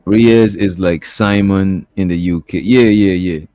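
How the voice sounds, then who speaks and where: A relaxed man hosts a call-in stream, dropping slang as he asks his guest questions. His voice sits fairly low, and the sound is dry and close. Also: unhurried, no echo. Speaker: stream host. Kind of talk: casual chat.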